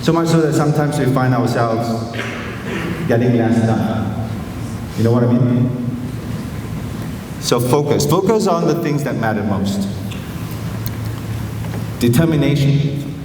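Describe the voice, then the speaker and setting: A man with a Chinese accent speaks earnestly and humbly, pausing often and adding fillers. His voice sits at a middle pitch. It rings through a large hall with a long reverb, picked up from a distance over steady background noise. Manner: earnest, gently self-deprecating, many pauses and fillers. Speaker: teacher giving a graduation speech. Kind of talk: inspirational speech to students. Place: large school assembly hall. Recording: echoey hall from far off with noise.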